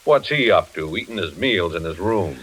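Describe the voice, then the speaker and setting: A man's voice: sits low, animated, normal speed, a bit bright, a little hiss or hum, rough recording. An older American man playing an easygoing, reluctant retired investigator in 1940s radio suspense style, folksy and reassuring. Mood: easygoing, dismissive.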